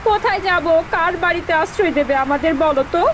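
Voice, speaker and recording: A woman's voice: extremely high pitch, quick pace, fairly bright tone, a little hiss or hum. A woman playing a worried, nagging poor village wife in a cartoon, with an anxious, complaining manner. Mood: scolding. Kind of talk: cartoon dialogue acting.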